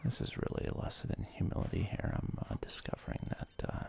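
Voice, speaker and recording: A man in his thirties whispers ASMR video game commentary in a hesitant, self-deprecating, rambling way. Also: a little fast. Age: 30s. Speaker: ASMR let's play host. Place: apartment.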